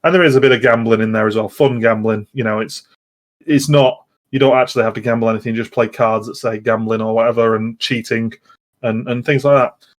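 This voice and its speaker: Guy, casual chat, kinda halting rambly explainer. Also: mid-range voice, fairly even intonation. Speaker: podcast host.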